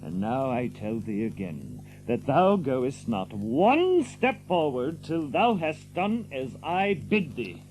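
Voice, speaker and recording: An actor playing a bold, hot-tempered outlaw leader in an archaic audio drama, with a blustering, declamatory manner. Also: male voice, medium pitch, very animated, slow pace, few pauses, a little mellow, a little hiss or hum, poor audio. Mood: commanding.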